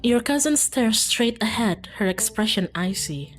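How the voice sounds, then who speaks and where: A woman's voice: on the high side, lively intonation, unhurried. A woman streaming a game, reading aloud and commenting casually in an informal, giggly, self-interrupting way. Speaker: streamer playing and reading aloud a visual novel. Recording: music behind.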